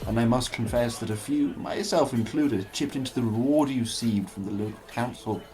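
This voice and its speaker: A man playing a smooth, scheming politician in a radio drama, speaking in a pompous, florid and formal way. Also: medium pitch, fairly quick, warm tone, natural voice. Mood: falsely cordial. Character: smooth, scheming male politician villain, falsely cordial.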